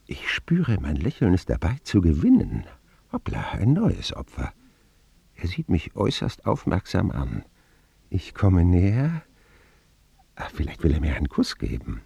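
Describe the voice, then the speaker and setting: A man's voice: deep voice, wildly swooping pitch, a little slow, gravelly, dark tone, breathy edge, no room sound, lo-fi sound, full character voice. An actor playing a man wryly recounting his boyhood in vivid first-person radio drama narration. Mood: hopeful.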